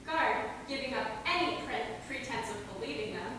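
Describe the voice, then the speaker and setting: A woman's voice: on the high side, animated, normal speed. A woman reading stage directions as the narrator of a staged play reading. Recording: echoey hall from far off, clear noise.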